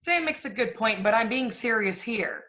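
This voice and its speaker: An actress plays a domineering female literary agent in a one-act play, with a fairly high voice. She is blunt, profane and impatient. The recording is slightly dull and lo-fi. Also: expressive, normal speed, sharp tone. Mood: bold, encouraging.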